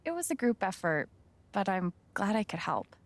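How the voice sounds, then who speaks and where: An actress playing a gentle, caring young woman in a video game, soft-spoken and sincere in a naturalistic way. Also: medium pitch, very animated, normal speed, natural voice. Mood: warm, sincere.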